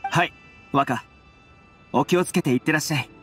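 A man's voice: fairly high pitch, normal speed, a bit bright, natural voice. A man voicing a friendly, loyal young retainer in a Japanese video game, with an easygoing, respectful manner. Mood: friendly, reassuring. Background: background music.

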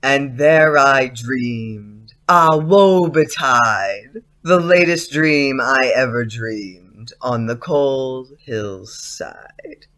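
An actor playing a dreamy, insolent schoolboy with a theatrical, poetic way of talking in an audio play. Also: male voice, mid-range voice, pushed and bright. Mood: haunting, dreamy. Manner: slow, theatrical recitation. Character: dreamy, insolent schoolboy reciting eerie old poetry. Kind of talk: audio drama acting, reciting poetry.

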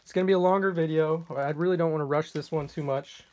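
A man casually narrating a card pack opening off camera, reading out card names, a little hoarse. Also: fairly high pitch, fast talker. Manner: relaxed, reads card names off one by one. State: slightly hoarse, clearing throat. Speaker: card collector opening packs. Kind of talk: casual unboxing commentary. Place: indoors at a table.

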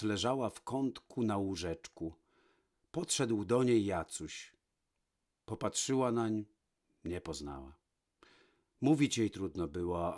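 A man narrating a fairy tale from a script, with measured, dramatic pauses. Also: fairly low pitch. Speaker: storyteller, narrator.

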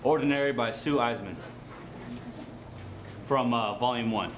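A man's voice: medium pitch, measured pace. A man performing a literary reading, recorded live at an indoor venue. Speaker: drama student or college staff reader. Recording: echoey, noisy venue with crowd.

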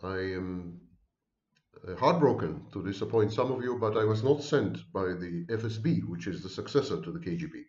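Middle-aged man, animated emphatic lecturer, home webcam with room echo. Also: lowish voice, moderate pace. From Israel. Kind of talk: monologue to camera. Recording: roomy, dull webcam sound.

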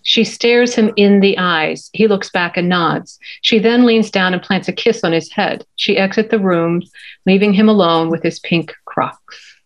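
A woman's voice: medium pitch, a little slow, dull audio. A middle-aged woman reading stage directions as scripted narration at a table read. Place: home office, indoors.

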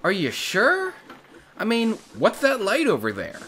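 Performer as a wildly animated, sarcastic young boy hero. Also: mid-range voice, a little slow, noisy background. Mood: puzzled. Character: plucky, exasperated, wisecracking young boy hero. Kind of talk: fan-dub game parody acting.

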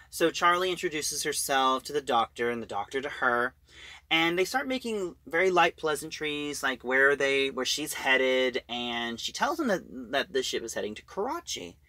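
A man giving a casual, halting recap of a story as a reviewer, in an informal way. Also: medium pitch, sharp tone, no echo.

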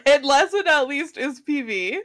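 A woman's voice: sits high, lively intonation, unhurried, a bit bright. A woman co-hosting a casual livestream game show, laughing often between phrases.

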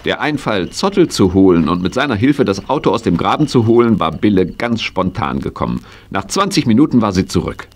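A man's voice: lowish voice, sing-song, brisk, few pauses. A man narrating a children's audio drama as a dramatic storyteller. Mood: suspenseful. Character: male storyteller of a children's horse adventure.

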